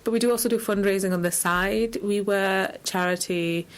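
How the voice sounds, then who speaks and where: A woman's voice: mid-range voice, steady tone, normal speed, clear, resonant tone. A woman giving informal, explanatory answers as a charity guest in an interview.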